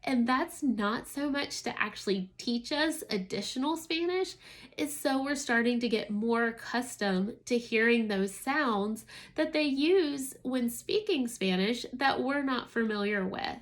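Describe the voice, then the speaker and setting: A woman's voice: on the high side, expressive, measured pace. A woman, a homeschooling mother, giving an enthusiastic, casual product review in a talking-to-camera style.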